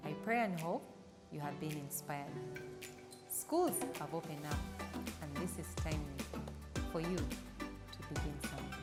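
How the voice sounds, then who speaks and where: A woman's voice: sits low, expressive, measured pace, pauses often, over loud music. A woman presenting a TV show in an upbeat, inviting way. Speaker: TV show host. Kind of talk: scripted presenting.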